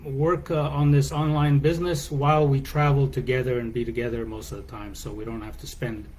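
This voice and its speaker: A man from Canada answering interview questions in a measured, relaxed way from a hotel room. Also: medium pitch, slight background noise, poor audio. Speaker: guest.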